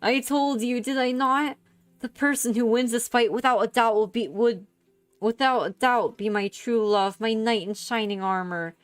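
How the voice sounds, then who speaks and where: A woman's voice: fairly high pitch, steady tone, moderate pace, smooth, pitched above the performer's own voice, lightly acted. A woman voicing a theatrical, adoring princess from a visual novel, with music behind her. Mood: triumphant, adoring. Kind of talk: visual novel character reading. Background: background music.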